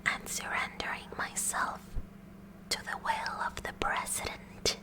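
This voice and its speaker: A woman playing a kooky, eager teenage science club president in a breathy whispered ASMR roleplay, speaking in a deliberate, mock-official way. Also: lightly acted, low-quality recording. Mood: officious, eager. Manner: slow, deliberate, mock-official with awkward stammers.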